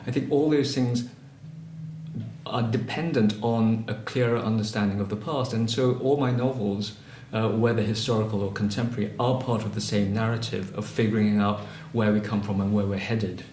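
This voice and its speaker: Hesitant, low-voiced man in an echoey room. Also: fairly even intonation, normal speed, darkish tone, a little hiss or hum. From Malaysia. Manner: hesitant, many ums and restarts. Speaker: interviewee, novelist. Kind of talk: reflective interview answers. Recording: echoey room, faint ambience.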